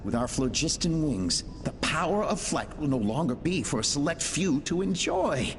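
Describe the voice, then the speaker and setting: A man's voice: a little high, big pitch swings, moderate pace. An actor playing an earnest, idealistic male inventor dictating research logs in a video game. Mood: idealistic, hopeful. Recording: reverberant hall, music underneath.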